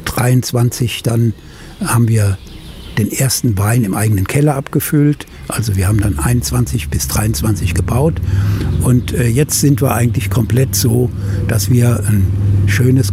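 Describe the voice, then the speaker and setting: Older Rhineland man, halting and gravelly. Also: mid-range voice, noisy background. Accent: Rhineland German. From Middle Rhine, Germany. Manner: halting, frequent filler sounds. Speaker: winery owner. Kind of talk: hesitant interview answers. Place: outdoors at a vineyard.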